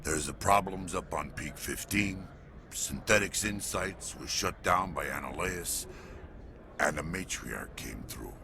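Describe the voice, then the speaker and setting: A man's voice: deep voice, animated, a little slow, sharp tone, heavily acted. A man playing a chatty alien chief mechanic in a video game, with an informal, wry way of talking. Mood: wry, friendly. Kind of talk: video game dialogue acting. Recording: clear background ambient noise.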